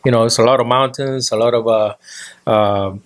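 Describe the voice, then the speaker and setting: A man from Puerto Rico co-hosting a casual talk show, rambling and pausing on many "uh"s. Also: mid-range voice, steady tone. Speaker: co-host. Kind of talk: casual radio show chat.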